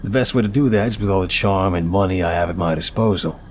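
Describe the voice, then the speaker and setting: Low-voiced, charming, nervous mafia boss played by a man. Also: quick pace, slight background noise, natural voice. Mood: earnest, playful.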